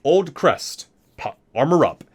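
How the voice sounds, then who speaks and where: A man's voice: on the high side, big pitch swings, slow pace, slightly rough. A man hosting an ASMR video, reading guidebook entries aloud and sometimes stumbling and correcting himself.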